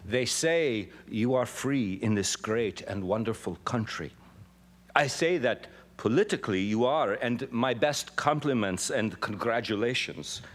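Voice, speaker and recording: An actor playing an impassioned young male labor radical addressing a jury in an emphatic, oratorical dramatic reading. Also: mid-range voice. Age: young adult. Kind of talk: dramatic reading of a historical courtroom speech.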